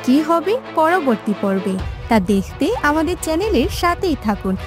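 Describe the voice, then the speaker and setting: চড়া, প্রাণবন্ত গলার নারী কথক. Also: female voice, sits high, big pitch swings, normal speed, music in the background. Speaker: narrator. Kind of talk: scripted cartoon outro narration.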